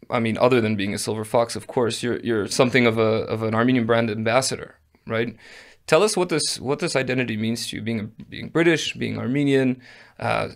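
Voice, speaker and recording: A man hosting a podcast interview, quick and opinionated, asking open questions. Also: mid-range voice, vocal fry. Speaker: podcast host. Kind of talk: casual interview talk.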